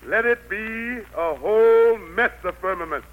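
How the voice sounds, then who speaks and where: A man's voice: sits high, measured pace, narrow, tinny audio. An actor playing God as a genial, fatherly country patriarch with a Southern US accent and a folksy, commanding manner in an old radio play. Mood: folksy, fussy. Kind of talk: 1940s radio play acting. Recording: noisy old radio recording.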